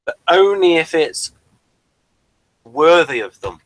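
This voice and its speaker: A man's voice: on the high side, very animated, takes it slowly, no echo, poor audio. A man hosting a casual review discussion, speaking hesitantly and probing with a contrarian bent. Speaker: podcast host reviewing TV episodes.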